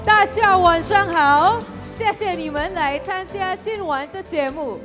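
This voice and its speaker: Female pageant host, very high voice, formal stage delivery, light music behind. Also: measured pace. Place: large pageant venue before an audience.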